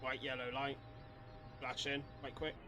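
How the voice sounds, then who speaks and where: A man's voice: medium pitch, monotone, measured pace, background noise. A man giving a casual live-stream reaction, reading things aloud and commenting as he goes. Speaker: streamer reacting to horror videos.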